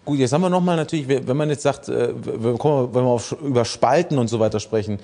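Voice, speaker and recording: A man in his thirties interviewing a guest in a studio, asking probing questions with halting restarts. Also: medium pitch, vocal fry, mellow. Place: studio set with red curtains.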